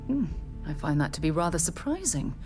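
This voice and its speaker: A woman's voice: on the low side, very animated, fairly quick, a little mellow. An actress playing an earnest, articulate woman scientist in a space adventure video game. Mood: concerned, reassuring. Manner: articulate, thoughtful. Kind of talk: video game dialogue acting. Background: game music.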